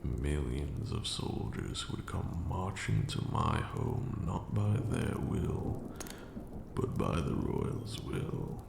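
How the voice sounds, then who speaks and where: An abyssally deep, heavily creaking voice of an ancient male vampire lord, acted by a man, unspools a slow ASMR monologue. He is brooding and grandiose, cruel yet weary, with measured, hushed words echoing in a roomy space. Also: big pitch swings, full character voice. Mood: grim, brooding. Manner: measured, grandiose, archaic phrasing. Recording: roomy echo, audible background noise.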